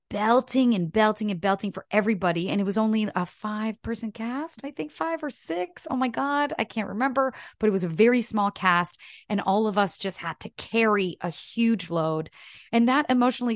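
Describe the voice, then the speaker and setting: A woman's voice: medium pitch, dry recording, clean studio sound. A woman in her thirties from Canada whose first language is French, chatting in a casual interview and telling stories with many "like" fillers. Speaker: actress, podcast guest.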